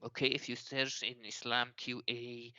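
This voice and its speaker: A man calling into a live debate, defending his view in a hesitant, halting way with many restarts. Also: mid-range voice, completely flat delivery, crowd noise. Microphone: online call. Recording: dull lo-fi call audio.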